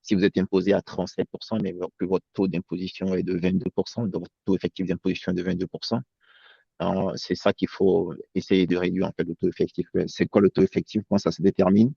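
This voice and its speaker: A man's voice: deep voice, little pitch movement, quick pace, vocal fry, mellow, husky, no room sound. A man who grew up in France, presenting as an accountant in a webinar over a video call, hesitant and full of fillers and restarts. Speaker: accountant guest. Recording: dull lo-fi sound.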